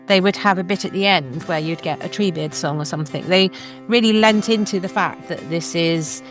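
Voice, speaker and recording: Lowish-voiced woman hosting. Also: normal speed, faint music behind. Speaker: podcast host, interviewer. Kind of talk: conversational interview.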